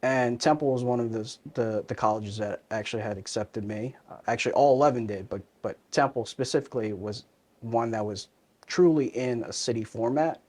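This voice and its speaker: Relaxed conversational man in his thirties. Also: mid-range voice, fairly quick. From born in Hong Kong, raised in New Jersey. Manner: relaxed, conversational, frequent fillers and restarts. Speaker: interview guest, commercial real estate advisor. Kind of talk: casual interview conversation.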